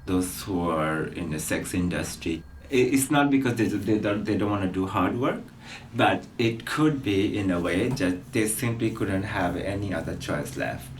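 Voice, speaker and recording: A deep-voiced man speaks earnestly, pausing now and then. He sits at some distance from the mic, and a faint ambient noise runs beneath his voice. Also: animated. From Bangladesh. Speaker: interviewee. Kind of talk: documentary interview.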